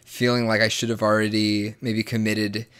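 A man's voice: fairly low pitch, flat delivery, normal speed, fairly bright tone, clean tone. A man in his late twenties talking in a casual chat, rambling and often restarting his words, with sarcastic asides. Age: 20s. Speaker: guest. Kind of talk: casual conversation.

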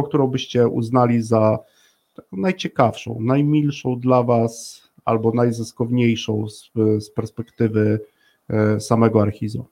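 A man hosts a conversational interview in a mid-pitched voice. His intonation stays very even, and he builds long questions haltingly, circling toward the point. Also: vocal fry. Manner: hesitant, long-winded questioning. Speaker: podcast host interviewing guests.

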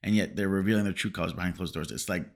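A man's voice: sits low, racing, vocal fry. A man co-hosting a casual film podcast, rambling with many fillers. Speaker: podcast co-host.

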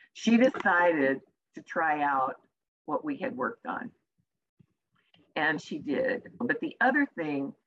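Woman, soft voice, very low pitch, measured, slightly muffled lo-fi sound. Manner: measured, deliberate with pauses. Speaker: executive communication coach. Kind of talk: interview answer, storytelling.

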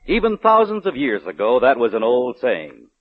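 A man's voice: mid-range voice, huge pitch swings, normal speed. A man reading a scripted advertisement as a 1940s radio announcer. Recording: muffled old radio recording.

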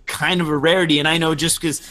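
A man with a slightly raised voice hosts a relaxed interview. He asks long, meandering questions, full of fillers and restarts. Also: fairly high pitch, fast talker. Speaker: podcast host. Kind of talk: casual interview.

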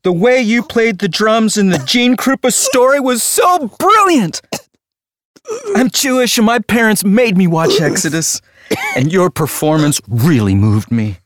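A man playing an obsessive fan in a theatrical audio drama, fawning and needling with drawn-out phrasing. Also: high-pitched, expressive, fairly bright tone, no room sound. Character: gushing, needling obsessive fan of a dead star.

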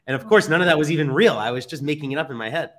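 A man's voice: fairly high pitch, animated, very fast, a bit bright. A man speaking casually and conversationally as a podcast host. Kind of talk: casual conversational interviewing and storytelling.

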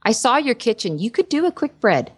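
A woman's voice: fairly high pitch, very animated, a little fast, slightly rough. A woman voice actress chatting playfully in a casual interview, laughing often. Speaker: voice actress, guest.

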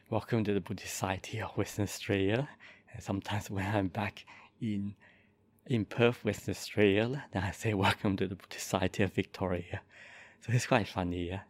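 A Buddhist monk in his forties from Malaysia, speaking softly and calmly as he introduces and leads a guided meditation. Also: fairly low pitch. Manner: slow, soft-spoken and calm, with gentle pauses.

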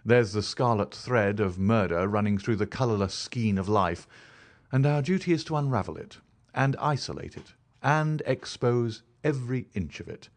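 A brisk, clever detective , as the reader puts him on, speaks in crisp, commanding phrases with a lively lilt. He presses his questions impatiently and his voice moves freely up and down. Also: on the low side. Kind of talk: audiobook character voice.

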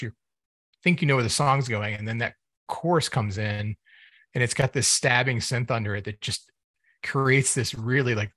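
A man's voice: mid-range voice, a bit bright, no room sound. Man co-hosting, close dry sound. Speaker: podcast co-host. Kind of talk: casual music review chat.

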